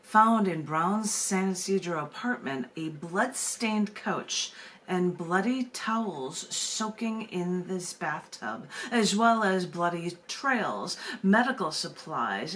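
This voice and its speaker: A woman hosting an open mic and reading her poetry aloud, moving between casual talk and narrative reading. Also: fairly low pitch. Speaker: open mic host and poet. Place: video call open mic. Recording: noisy room sound.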